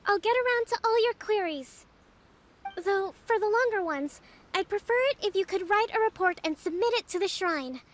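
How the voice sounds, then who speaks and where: A woman playing a young, calm and gracious female priestess who leads an island, speaking in a soft, patient, composed way. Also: very high-pitched, moderate pace. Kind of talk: video game voice acting.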